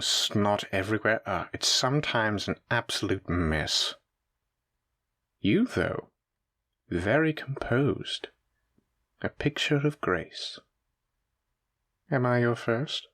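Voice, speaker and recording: A man's voice: fairly low pitch, lively intonation, slow, long pauses, a bit bright. A man playing a menacing, teasing mafia boss villain in a roleplay audio drama, speaking in a taunting, theatrical way straight to the listener.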